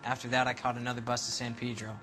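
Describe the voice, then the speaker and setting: A brash young sailor in a 1940s crime game, acted by a man. He has a mid-range voice and answers questions defensively, in blunt slang. Music is clearly heard under his words. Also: mid-range voice, completely flat delivery, quick pace, close to an everyday voice. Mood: guarded. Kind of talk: video game cutscene acting.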